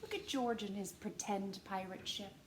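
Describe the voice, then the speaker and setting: A woman reading aloud expressively for young children as a storytime host, animated and addressing them directly with questions. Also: medium pitch, moderate pace. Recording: roomy echo with faint background noise.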